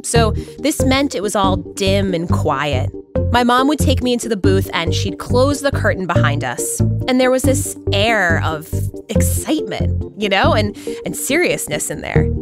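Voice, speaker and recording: An American woman narrates a podcast from a script. Her voice sits at a middle pitch, and music plays prominently behind her. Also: sing-song, normal speed. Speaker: podcast host. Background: music bed.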